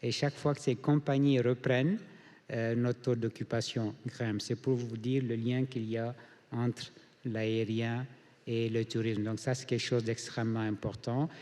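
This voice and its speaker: A man from Mali speaking on a conference panel as a hotel group founder, measured with frequent hesitations. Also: medium pitch, little pitch movement, darkish tone. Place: conference hall. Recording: reverberant conference hall.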